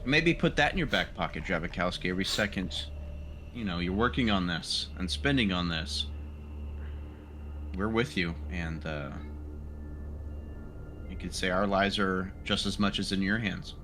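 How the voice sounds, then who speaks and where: A man playing a tabletop roleplaying game, talking casually and a little haltingly. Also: sits low, bright, forceful tone, slight background noise.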